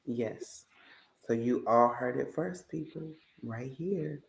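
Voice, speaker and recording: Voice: medium pitch, takes it slowly, gravelly. An effusive podcast host chatting casually with a guest and speaking straight to the listeners. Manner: effusive, addresses listeners directly. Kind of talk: casual interview chat. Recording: roomy, echoey sound.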